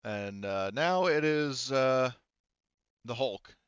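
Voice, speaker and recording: Bright-voiced man running a tabletop game. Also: medium pitch, animated, takes it slowly, clear, resonant tone, dry recording, highs cut off. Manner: informal, hesitant, thinking aloud. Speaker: game master. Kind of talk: casual narrating and refereeing of a tabletop roleplaying game.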